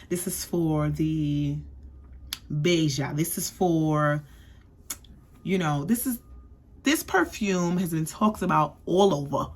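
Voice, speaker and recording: A woman's voice: on the low side, fairly bright tone. A young woman from the East Coast giving a chatty, sassy perfume review, often checking in with "okay?". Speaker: beauty vlogger.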